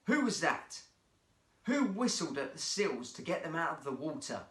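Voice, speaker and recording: Man doing an animated showman zookeeper. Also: a little high, moderate pace, reverberant. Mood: commanding, jovial. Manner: addressing animals and audience like a presenter. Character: showman zookeeper, male, jovial seal trainer presenting a show.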